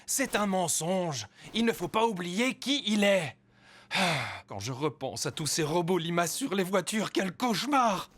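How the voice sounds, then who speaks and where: A man playing a stern, excitable policeman in a French cartoon dub. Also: high voice, unhurried, full character voice. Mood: exasperated.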